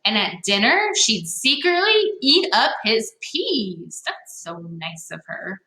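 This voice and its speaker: A woman reads a picture book aloud for children in a bright, highly expressive voice. She takes her time, leaning into key words and adding playful asides. The room gives her voice a noticeable echo. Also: takes it slowly. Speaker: read-aloud host for children. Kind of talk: animated children's storybook read-aloud. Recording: echoey, roomy sound.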